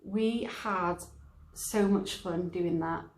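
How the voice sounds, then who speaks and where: A woman's voice: fairly low pitch, steady tone, slow, echoey room, poor audio. A young woman from a pantomime cast, reminiscing casually. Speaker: pantomime performer.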